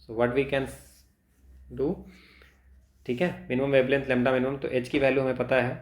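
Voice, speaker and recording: An Indian man speaks as a tutor walking a student through exam problems. His pace is slow and halting, with a mid-range voice, and he thinks aloud as he works out each step. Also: mid-range voice, completely flat delivery. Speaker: physics teacher for exam preparation. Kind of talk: instructional walkthrough of exam problems, switching between Hindi and English.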